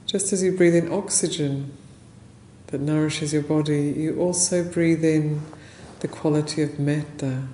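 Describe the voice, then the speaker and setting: A woman leading a guided meditation as a teacher, speaking gently and unhurriedly in short phrases with long pauses. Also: low-pitched, fairly even intonation. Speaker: meditation teacher, Buddhist nun. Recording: roomy echo, faint background noise.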